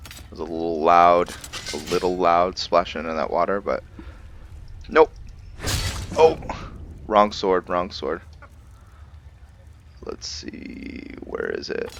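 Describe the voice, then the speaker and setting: A man's voice: low voice, lively intonation, slow, long pauses, background noise. A man giving casual commentary on a roleplay video game, thinking aloud to himself as he plays. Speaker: gamer hosting a roleplay playthrough.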